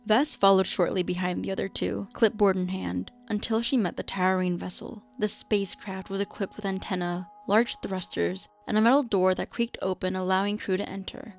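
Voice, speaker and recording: A woman narrating a scripted radio drama in a measured storytelling delivery. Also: medium pitch, steady tone, creaky, soft background music.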